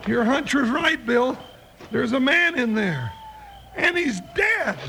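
A man's voice: extremely high pitch, big pitch swings, slow pace, creaky, exaggerated voice. A man playing a grumbling, skittish cowboy sidekick in an old radio western, folksy and exclamatory. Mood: alarmed. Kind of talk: 1950s radio western acting. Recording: noisy old-time radio recording.

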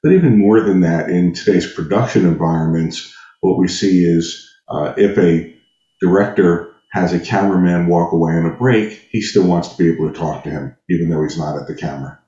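A man with a deep, bright voice gives methodical technical explanations as an interview guest. He talks conversationally and reaches for everyday comparisons. The recording is noticeably roomy. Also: brisk. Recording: dull, roomy sound.

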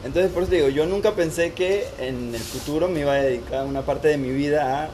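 A man's voice: fairly high pitch, fast talker, darkish tone, slight background noise. A man, chatting casually and telling personal stories as an interview guest. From Panama, born in Costa Rica. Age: 20s. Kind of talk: casual conversation, personal storytelling.

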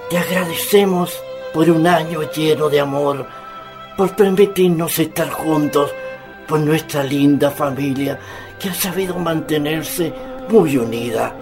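Man acting a creaky, slow old Chilean grandfather. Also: a little high, over music. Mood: devout, grateful. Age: older. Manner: hesitant starts, repeats words. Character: jolly, stubborn old grandfather with a big appetite. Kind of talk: radio drama acting.

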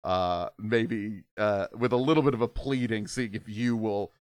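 A hoarse man narrating a tabletop horror game as game master, in a relaxed, conversational way. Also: lowish voice, a little slow. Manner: relaxed, conversational, filler-heavy.

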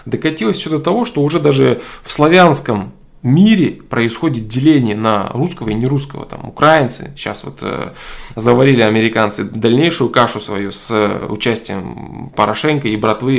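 A man speaks in a middle-pitched voice, pressing his views in a long monologue. He says his key phrases twice for weight and breaks up his sentences with frequent hesitant fillers. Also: vocal fry. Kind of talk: opinionated political monologue.

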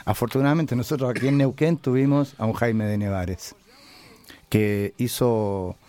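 An older man from Chile, a musician answering interview questions casually, halting and reflective as he searches for words. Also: medium pitch, steady tone, mellow.

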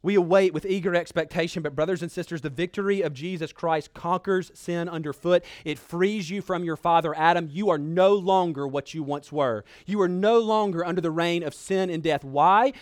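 A man preaching a sermon in an earnest, exhorting manner, emphatic, with dramatic pauses and repeated phrases. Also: a little high, no room sound, studio quality.

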